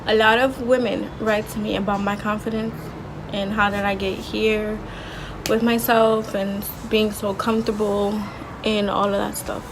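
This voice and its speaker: A young woman in her twenties talking casually in a vlog, with a soft, low voice and a slangy, rambling way of talking full of restarts. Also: level tone, measured pace, background noise. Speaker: vlogger.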